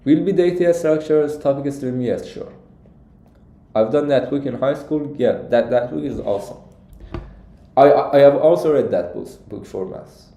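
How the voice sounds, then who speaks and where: A young man from Iran with an Iranian accent answers viewers' questions casually on a live stream as a coach, often repeating words haltingly. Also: mid-range voice, vocal fry, warm tone. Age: young adult. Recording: echoey, roomy sound.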